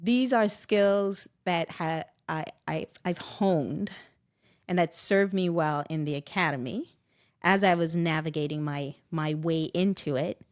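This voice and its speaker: A middle-aged woman speaking reflectively and personally in conversation, with animated, expressive asides. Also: on the low side, unhurried. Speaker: guest.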